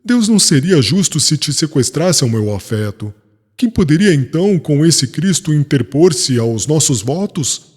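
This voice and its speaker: A man's voice: on the high side, very animated, measured pace, darkish tone, slightly rough, full character voice. A man playing a passionate, proud young fiancé in an audiobook drama, with theatrical, emphatic, formal delivery. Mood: indignant, anguished. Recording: long reverb, music behind.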